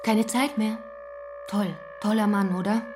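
A woman's voice: mid-range voice, fairly even intonation, unhurried, music in the background, natural voice. An actress playing a gossipy, brittle woman in a drama, talking in a clipped, sardonic, scornful way. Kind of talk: theatrical dialogue acting.

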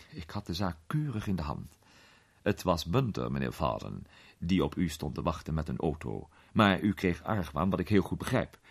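A man playing an urbane gentleman detective who recounts his case with measured, suspenseful pauses in a radio play. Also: deep voice, gravelly, breathy edge, exaggerated voice. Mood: composed, confiding. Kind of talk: dramatised storytelling in a radio play.

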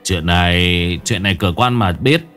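A man's voice: extremely low pitch, animated, moderate pace, soft background music, voice pushed down, full character voice. A man voicing a treacherous young male servant who is sly and sneering and stammers when caught. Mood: sneering, gloating.

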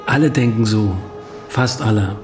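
An actor playing a self-doubting writer in a radio drama, recounting things in a reflective, confessional way. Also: male voice, fairly low pitch, normal speed, darkish tone, soft background music, natural voice. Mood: wry, guarded. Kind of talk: radio drama narration and dialogue.

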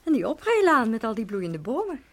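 A woman's voice: on the high side, sing-song, brisk, a bit bright, full character voice. An actress in a radio play voicing a fascinated, sociable woman visitor with an eager, effusive manner.

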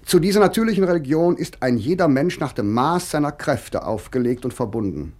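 A witty young man of letters, declaims in a husky voice for a radio feature. His pitch swings widely, and he phrases every clause with rhetorical emphasis. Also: lively intonation, fairly quick, a little mellow. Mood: earnest, emphatic. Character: Lessing, sharp-witted mocking young male writer and critic. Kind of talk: dramatic reading of letters and essays in a German radio feature.

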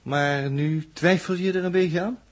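An actor in a 1960s radio drama playing a defiant captive space traveller from Earth, with a husky voice and a sardonic, teasing, casual manner. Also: male voice, on the high side, steady tone, measured pace. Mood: probing, teasing. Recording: old 1960s radio recording.

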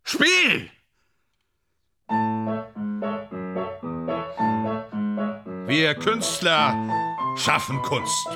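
A man's voice: a little high, sing-song, measured pace, loud music behind the voice. A man in a German radio play, playing a grandiose, self-deluding film actor who declaims in exalted, repetitive phrases. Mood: fervent, boastful.

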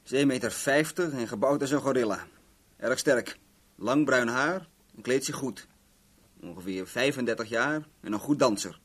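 An actor playing a cocky, talkative young garage owner in a Dutch radio play, rambling and self-assured. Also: male voice, medium pitch, husky, lightly acted.

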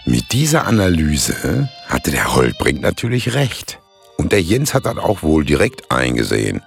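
Very deep, gravelly pub landlord. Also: male voice, wildly swooping pitch, measured pace, loud music behind the voice, exaggerated voice. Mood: wry narrating. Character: wry, laconic male pub landlord telling the story. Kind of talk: satirical radio play narration.

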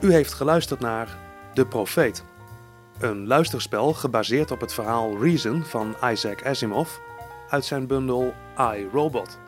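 A man announces the closing credits of a radio play. He reads from a script at a slow pace, in a mid-range voice, with music playing behind him. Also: mid-range voice.

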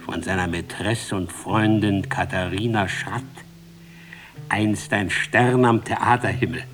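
Solemn male bard of fate, radio drama narration: lowish pitch, unhurried delivery, deliberate, impassioned declamation. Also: fairly even intonation, fairly bright tone, soft background music. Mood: incantatory, scornful. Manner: declamatory, deliberate, repeats for emphasis.

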